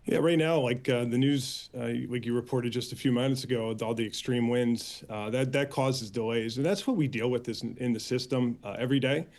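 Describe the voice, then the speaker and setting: Earnest American man, halting interview delivery. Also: mid-range voice, darkish tone. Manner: earnest, halting with frequent filler pauses. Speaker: air traffic controllers' union official, interview guest. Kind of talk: spoken interview answers.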